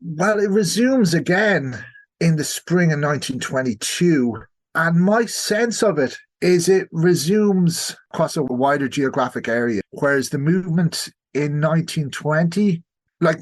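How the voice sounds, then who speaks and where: An Irish man speaking as a historian guest in a podcast interview, explaining things in a discursive way. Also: fairly high pitch. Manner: discursive, explanatory, checks listener with "right?".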